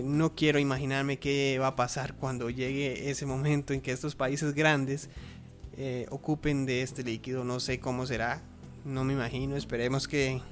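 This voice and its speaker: A Costa Rican man presenting informally as a radio host, halting and repeating words as he searches for phrasing. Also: mid-range voice. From Costa Rica. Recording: faint music behind.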